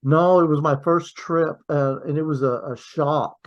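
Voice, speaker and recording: A man hosting a podcast, chatting in a friendly, halting way and often repeating words. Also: a little high, steady tone, muffled recording. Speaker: podcast host. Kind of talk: casual interview chat.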